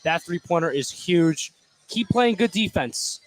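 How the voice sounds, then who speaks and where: A young man with a fairly high voice serves as color commentator on live basketball. His analysis is casual and conversational. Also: lively intonation, measured pace. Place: courtside in a high school gym.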